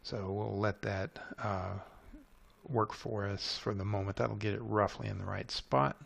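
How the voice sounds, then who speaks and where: A man's voice: fairly low pitch, fairly even intonation, unhurried, creaky, fairly bright tone. A man giving an unscripted screencast tutorial, methodically thinking aloud as he narrates each step. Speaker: tutorial presenter.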